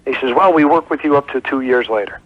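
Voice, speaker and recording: A man's voice: medium pitch, quick pace, no echo. A man from the United States calling in to a radio show, talking casually over the phone. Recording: dull lo-fi call on radio broadcast.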